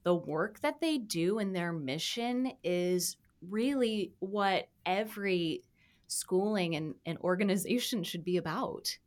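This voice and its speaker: A woman's voice: mid-range voice, unhurried. A woman hosting an arts interview show, talking in an upbeat, chatty way with fillers. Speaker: host. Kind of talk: casual interview.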